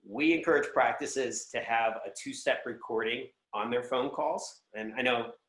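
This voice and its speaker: A man's voice: mid-range voice, moderate pace, a bit bright. A man giving conversational business advice as an interview guest, explaining things in a practical way. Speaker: guest, sales executive and consultant. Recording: roomy, echoing voice pickup.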